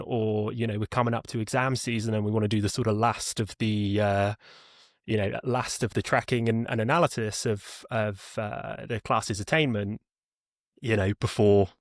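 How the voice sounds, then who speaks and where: A man's voice: lowish voice, dry recording, studio quality. A man being interviewed as a podcast guest, explaining conversationally, rambling and repeating words as he thinks. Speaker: podcast guest, head of customer experience.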